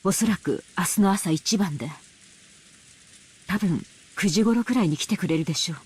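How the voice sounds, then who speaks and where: A woman playing a kindly, cheerful elderly servant with a husky voice in a visual novel. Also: fairly low pitch, fast talker, a little mellow. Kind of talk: Japanese visual novel voice acting.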